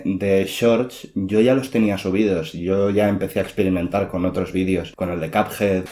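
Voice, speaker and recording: Young male interview guest, Spain accent, deep voice, casual conversational delivery. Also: darkish tone. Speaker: interviewee, amateur dubber and YouTuber.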